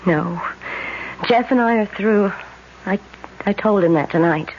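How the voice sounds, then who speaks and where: An American actress playing a troubled young woman in a 1970s radio drama, halting and emotional in her delivery. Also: mid-range voice, a little mellow, close to an everyday voice. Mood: agitated, weary. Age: young adult. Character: troubled, overwrought young woman plagued by guilt and headaches. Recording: dull, noisy old radio broadcast.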